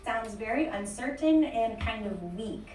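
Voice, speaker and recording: A woman's voice: fairly high pitch, unhurried, slight background noise. A woman from California with a Californian accent, explaining speech features in an instructional video. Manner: explanatory, animated. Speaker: English accent teacher. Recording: played back in a roomy space, distant.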